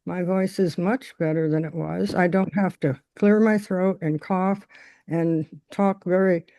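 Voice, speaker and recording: Slow, mellow-voiced woman caller. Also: on the low side, fairly even intonation, no room sound. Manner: slow, with throat clearing. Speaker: caller sharing a testimonial. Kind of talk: casual testimony over a call.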